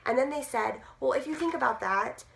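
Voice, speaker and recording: A woman in her thirties, hoarse from losing her voice, chatting casually to camera with many fillers. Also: high-pitched, animated, a little fast, a bit bright. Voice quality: gruff. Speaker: direct sales team leader.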